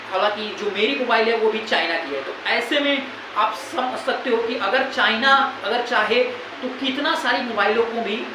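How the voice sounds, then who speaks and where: A woman with an Indian accent presenting an informal current-affairs explainer in an explanatory, repetitive way. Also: on the high side. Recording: very echoey room, loud background noise.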